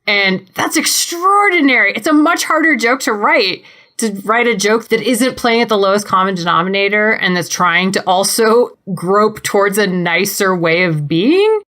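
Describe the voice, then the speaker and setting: A woman's voice: mid-range voice, expressive, normal speed, pushed and bright. A woman chatting casually as a podcast panelist, gushing and emphatic in how she talks. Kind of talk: casual chat.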